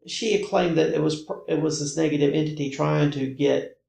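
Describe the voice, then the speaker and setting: An American man casually recounting his own experiences as a guest, in halting, emphatic phrases and short repetitions. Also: a little high, fairly even intonation, creaky, darkish tone. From North Carolina, United States. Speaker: podcast guest recounting personal paranormal experiences. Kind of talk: casual conversational storytelling. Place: indoor room, in-person conversation. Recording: roomy, echoey indoor recording.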